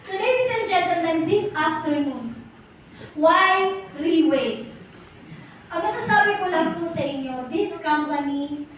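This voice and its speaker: A woman's voice: very high-pitched, animated, a little slow, fairly bright tone. A Filipino woman in her forties giving a testimonial speech at a company event, mixing English and Tagalog and asking the audience rhetorical questions. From Philippines. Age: 40s. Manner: addresses the audience directly again and again. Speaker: motivational speaker at a company event. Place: large echoey event hall. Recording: echoey hall, voice from far off, background noise.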